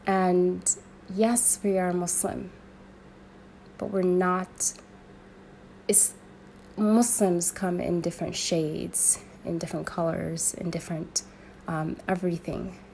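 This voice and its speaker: A young woman from Sudan speaking in an earnest, searching way, pausing and restarting as she thinks aloud. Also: on the low side, level tone, gravelly, darkish tone, slight background noise. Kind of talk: personal reflective monologue.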